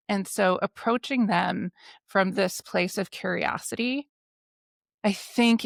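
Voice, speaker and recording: A woman in her forties from Canada, hosting a podcast with enthusiastic, animated storytelling. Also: mid-range voice, vocal fry, dry recording, clean studio sound. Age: 40s. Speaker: podcast host. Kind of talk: conversational interview.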